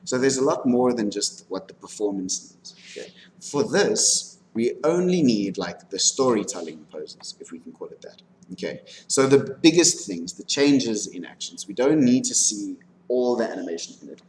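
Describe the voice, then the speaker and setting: Low-voiced man teaching, noisy recording. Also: very animated, normal speed, darkish tone. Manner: instructive, frequently checking in with "okay". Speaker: animation lecturer. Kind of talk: informal software lesson with live demonstration. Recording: background noise, room ambience.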